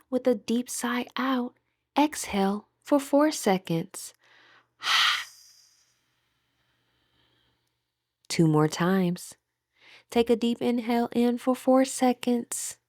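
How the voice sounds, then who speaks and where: A woman guiding a meditation in a soothing, deliberate manner with gentle pauses. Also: fairly high pitch, no room sound, studio quality. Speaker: meditation guide. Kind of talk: guided meditation narration.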